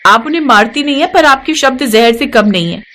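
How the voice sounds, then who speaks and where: High-pitched, outspoken young daughter-in-law, acted by a woman. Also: expressive, brisk, fairly bright tone. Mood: indignant, reproving. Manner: earnest, reproving, lecturing. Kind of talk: acted moral-story cartoon dialogue.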